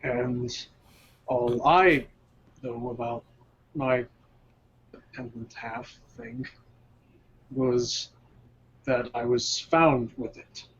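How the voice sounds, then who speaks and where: A man playing a tabletop game, talking in a halting, rambling way with many restarts. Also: mid-range voice, very animated, creaky, fairly bright tone, husky, dull audio. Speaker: player. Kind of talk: casual tabletop game chat.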